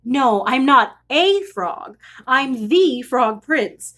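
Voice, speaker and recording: A woman's voice: high voice, lively intonation, very slow, a bit bright, full character voice. A woman voicing an earnest young frog prince from a storybook, pleading and easily exasperated. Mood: eager, pleading. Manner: breathless pleading, exasperated emphasis.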